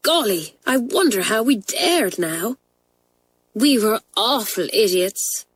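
A woman's voice: fairly high pitch, very animated, takes it slowly, slightly rough, pitched above the performer's own voice, exaggerated voice. A woman reading a children's storybook, voicing a frank, chatty schoolgirl with a breezy, emphatic manner. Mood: rueful amazement. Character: frank, chatty schoolgirl twin, candid and exclamatory.

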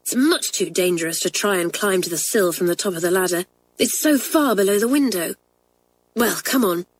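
A woman voicing Janet, a bossy, brisk schoolgirl who talks sharply. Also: lowish voice, a little hiss or hum, natural voice, low-quality recording. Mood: dismayed. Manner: sharp, commanding.

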